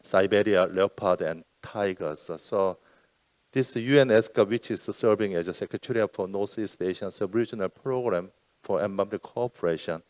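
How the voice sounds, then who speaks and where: A man speaking on a roundtable panel about environmental cooperation, halting often and correcting himself mid-sentence. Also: lowish voice, a little mellow, no echo. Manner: halting, many fillers and self-corrections. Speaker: UN environmental program officer.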